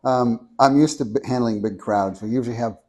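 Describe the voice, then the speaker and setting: Soft-spoken man, measured deliberate reading, handheld mic, small meeting room. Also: mid-range voice. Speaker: speaker at a meeting. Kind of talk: reading a prepared talk. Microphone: handheld microphone.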